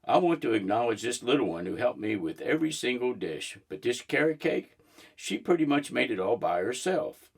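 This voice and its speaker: A man reading the part of a warm, proud mother in a children's storybook, speaking in a heartfelt way. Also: medium pitch, big pitch swings, normal speed, creaky, husky, heavily acted. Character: warm, proud mother hosting family dinner. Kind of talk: storybook read-aloud.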